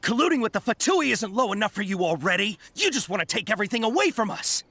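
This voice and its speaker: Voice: medium pitch, sing-song, fast talker, bright, forceful tone, no room sound, exaggerated voice. An actor voicing an angry, bitter rebel soldier in a video game, vehement and accusing. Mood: furious.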